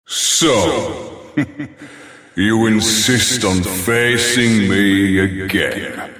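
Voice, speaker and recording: An actor playing a proud, ancient superhuman being in a video game, testing mortals with a haughty, formal, menacingly amused manner. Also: male voice, sits low, a bit bright, exaggerated voice. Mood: mocking, amused. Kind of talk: scripted video game character acting. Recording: cavernous echo with long reverb.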